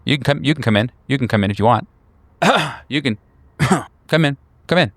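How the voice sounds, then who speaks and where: A man's voice: mid-range voice, lively intonation, normal speed, no echo, voice pushed up, close to an everyday voice. A man voicing a painfully awkward young recluse in his twenties in a tabletop horror game, stammering, repeating himself and apologizing. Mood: awkward, eager.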